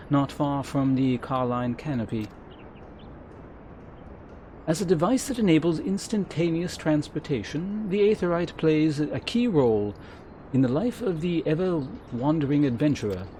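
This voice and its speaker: A man voicing a courteous, formal innkeeper who mentors adventurers in a fantasy game, speaking in measured, archaic phrases. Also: a little high, dark tone, a little hiss or hum, pitched above the performer's own voice. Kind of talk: read-aloud game character dialogue.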